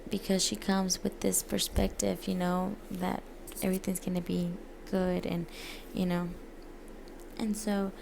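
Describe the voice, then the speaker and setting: A woman speaks slowly in a heavily creaky voice with little rise and fall. She presents a story informally, like a student, hesitating and restarting as she goes. Faint noise sits behind her. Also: mid-range voice. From United States, born in Mexico. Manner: hesitant, halting, frequent pauses and self-corrections. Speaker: student presenting a literature essay. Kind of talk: informal spoken book presentation.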